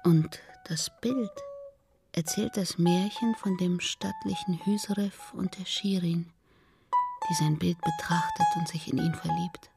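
An actress playing a gossipy, self-satisfied matchmaker in a German radio play, theatrical and drawn out, often dropping into whispers. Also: female voice, on the low side, fairly even intonation, measured pace, creaky. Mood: knowing, savouring. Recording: studio radio play over plucked-string music.

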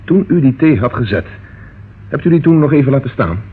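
An actor playing a decisive, businesslike police inspector with brisk authority in an old radio crime drama. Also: male voice, mid-range voice, lively intonation, breathy edge. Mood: probing. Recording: muffled, noisy old radio recording.

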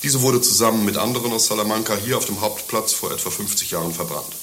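A man's voice: fairly low pitch, fast, a bit bright, a little hiss or hum, lightly acted. A man playing a worldly, sly confidant in a German radio play, declaiming with teasing emphasis. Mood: boastful, knowing. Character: worldly, sly male schemer, cheeky confidant to a friend.

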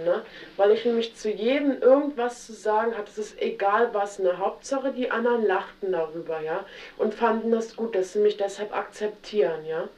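Young woman, Berlin accent, halting interviewee, roomy distant 1970s tape. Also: mid-range voice, warm tone. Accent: Berlin German. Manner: hesitant, halting, frequent tag questions. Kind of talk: candid recorded interview.

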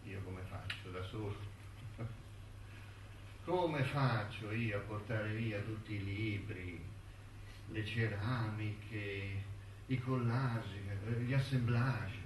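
Anziano intellettuale malinconico, lento. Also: male voice, on the low side, slight background noise. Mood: musing, weary. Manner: halting, musing, with rhetorical tags and repetitions. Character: elderly male intellectual and ant lover, wistful, bitter, reminiscing. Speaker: stage actor in a monologue. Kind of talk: theatrical monologue in a radio-broadcast play. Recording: echoey stage recording, distant mic, music.

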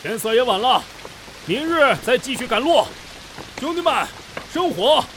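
An actor playing a brash, hearty leader of a band of travellers in a costume audio drama, familiar and bossy. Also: male voice, very high voice, big pitch swings, a little slow, a bit bright, slight background noise, full character voice, low-quality recording. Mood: rallying.

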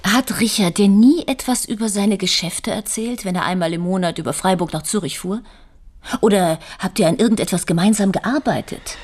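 An actress playing an anxious, hesitant but probing new widow in a German radio crime drama. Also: female voice, mid-range voice, normal speed, darkish tone, lightly acted.

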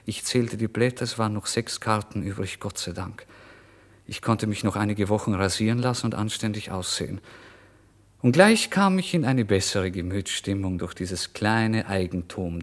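A man's voice: on the low side, close to an everyday voice. A male actor playing a despairing, tormented starving writer in a literary radio play monologue, theatrical in delivery. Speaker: actor narrating in first person.

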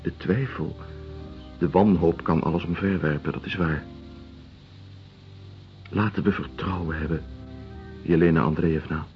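A man's voice: low voice, measured pace, pauses often. An actor playing an earnest, consoling man with a solemn, philosophical and reassuring manner in a radio drama. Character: earnest, consoling man of quiet hope and conviction. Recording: dull radio recording with music underneath.

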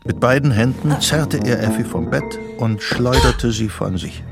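An actor as the narrator of a psychological thriller, reading scripted radio drama narration. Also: male voice, mid-range voice, unhurried, gravelly, over loud music. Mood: measured, tense.

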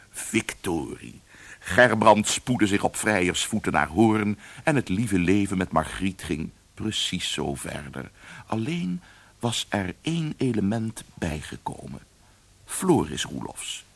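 Very deep, slow male storyteller. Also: slightly rough, no room sound. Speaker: narrator. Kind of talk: scripted storytelling narration for radio drama.

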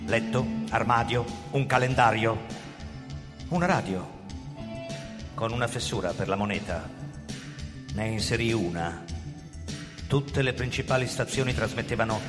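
Actor as tense fugitive narrator in reverberant hall. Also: male voice, medium pitch, a little slow, pauses often, lightly acted. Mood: urgent, narrating. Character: tense male fugitive recounting his flight, bitter and brooding. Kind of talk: dramatic first-person radio drama narration. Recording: reverberant hall, music over the voice. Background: prominent musical instruments.

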